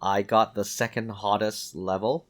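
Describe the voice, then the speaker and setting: Low-pitched man chatting casually. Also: fairly even intonation, measured pace. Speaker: podcast host. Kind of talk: casual chat, storytelling.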